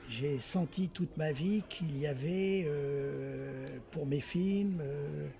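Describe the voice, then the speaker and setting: A man's voice: a little high, slow pace, gravelly, dark tone. A man, a film director in a casual interview, talking reflectively and hesitantly, repeating words as he searches for them.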